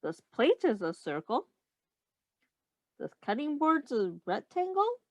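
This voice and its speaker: Casting: female early-years teacher, slow and very expressive, doing an interactive children's read-aloud. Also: high-pitched, dry recording. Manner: instructive, explains in simple words, repeats and counts aloud; addresses children directly, pausing for their answers. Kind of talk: children's read-aloud with questions to young listeners.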